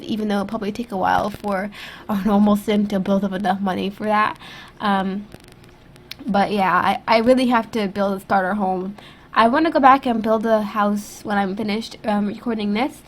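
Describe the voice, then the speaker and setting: A woman's voice: medium pitch, slight background noise. A young woman whose voice sounds stuffy and throaty after being sick, casually commenting over her video in a rambling, self-critical way with lots of fillers. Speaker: YouTube gaming creator. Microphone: USB desktop microphone.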